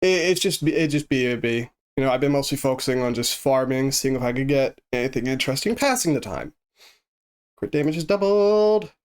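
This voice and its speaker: A man's voice: medium pitch, animated, a little fast, clear, resonant tone. A man streaming live, chatting casually with viewers in a rambling, easygoing way while he plays, his voice congested and a bit sniffly. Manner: rambling, easygoing, thinks aloud with many restarts.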